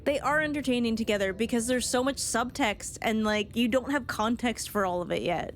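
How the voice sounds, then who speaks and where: A woman's voice: a little high, brisk. A woman game streamer giving casual reaction commentary on cutscenes, thinking aloud as she goes. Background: game music.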